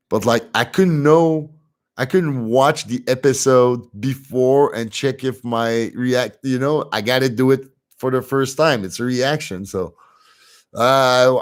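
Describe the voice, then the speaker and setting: A young man with a French accent, speaking English as a second language, casually chatting on a livestream and reading comments aloud with easy laughs. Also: mid-range voice. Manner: relaxed and chatty, often laughing softly. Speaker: livestream host reading chat.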